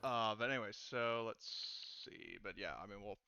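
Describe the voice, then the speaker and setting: A man speaking casually as game master after a session, laughing easily and often pausing to think. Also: lowish voice, gravelly, bright, forceful tone, clear, resonant tone, no echo, lo-fi sound. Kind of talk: casual post-game chat.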